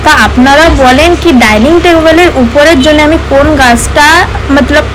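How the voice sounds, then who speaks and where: A woman's voice: high voice, moderate pace. A young woman with Hindi-influenced Bengali speech, chatting casually to viewers in a repetitive, appealing vlog narration. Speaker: vlogger. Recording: noisy outdoor street with traffic.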